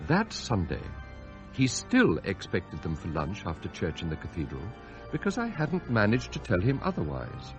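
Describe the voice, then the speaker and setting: An actor playing a dry, formal, quietly scheming butler in a radio drama, speaking in a measured way. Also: male voice, fairly low pitch, wildly swooping pitch, music in the background. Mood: regretful. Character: immaculate butler, quietly bitter and murderously scheming. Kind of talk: radio drama narration and dialogue.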